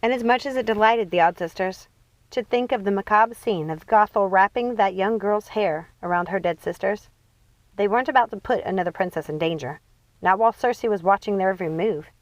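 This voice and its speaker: A woman reading a children's fantasy novel aloud as expressive scripted narration. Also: medium pitch, a little fast, no room sound, lo-fi sound. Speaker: audiobook reader.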